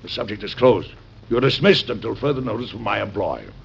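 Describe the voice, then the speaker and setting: Stern patriarch President for vintage radio drama. Husky, low, American, authoritative and protective, dull old recording. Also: male voice, lively intonation, a little fast, full character voice. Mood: indignant anger. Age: middle-aged. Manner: emphatic, formal, authoritative. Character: stern, protective President and father, hot-tempered but loving.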